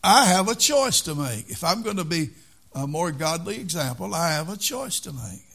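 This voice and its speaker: A man's voice: a little high, very animated, moderate pace, gravelly, a bit bright. An older man preaching a sermon in an earnest way, repeating key phrases for emphasis.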